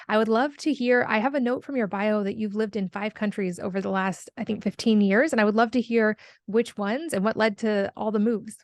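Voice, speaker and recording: A woman's voice: medium pitch, dry recording. A woman hosting a podcast, asking fluent, friendly interview questions. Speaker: podcast host.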